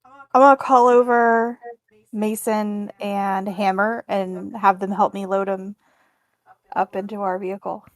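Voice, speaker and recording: A woman's voice: medium pitch, fairly even intonation, a little slow, dry recording. A woman speaking casually as a player in a tabletop roleplaying game, improvising her character's actions.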